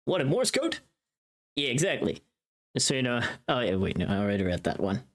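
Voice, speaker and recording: A woman's voice: very deep voice, sing-song, fairly quick. A woman livestreamer chatting casually while she works, muttering to herself and repeating phrases in a rambling way. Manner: swears freely. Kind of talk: casual chat while working on stream.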